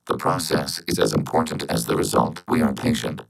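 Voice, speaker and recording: An actor voicing a calm, logical synthetic robot soldier for a video game, with clipped formal phrasing and a processed machine voice. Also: male voice, extremely low pitch, fairly quick, creaky, a little hiss or hum, heavily acted, low-quality recording. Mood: calm, analytical. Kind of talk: video game character voice acting.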